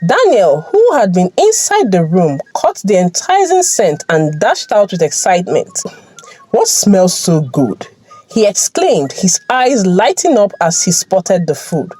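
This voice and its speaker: A woman's voice: medium pitch, wildly swooping pitch, unhurried, hardly any pauses, a little mellow, soft background music, poor audio. A woman storyteller reading a scripted folktale narration.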